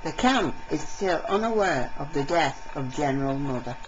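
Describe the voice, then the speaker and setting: A woman narrates a homemade audio drama from a script. Her voice sits fairly low, and the recording is dull with clear noise around it. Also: expressive, normal speed.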